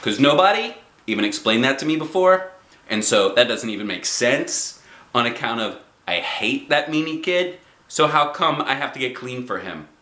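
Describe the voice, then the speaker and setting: A man's voice: mid-range voice, animated, moderate pace, pushed and bright, roomy sound, voice pushed up. A man voicing a stubborn, dramatic kindergarten girl with a whiny, childish, emphatic delivery. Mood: defiant.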